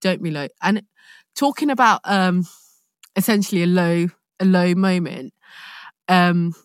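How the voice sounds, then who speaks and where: Lower-pitched London woman, candid and close-up. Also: unhurried, dry recording. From London, England. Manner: candid, expressive, slangy, sweary. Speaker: podcast guest, actor. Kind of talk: casual chat, animated storytelling.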